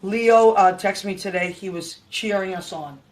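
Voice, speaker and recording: A hoarse woman in her fifties hosting a casual live chat from home, rambling and tired, talking into a webcam. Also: medium pitch, moderate pace, darkish tone. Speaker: livestream host. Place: home room with Christmas tree.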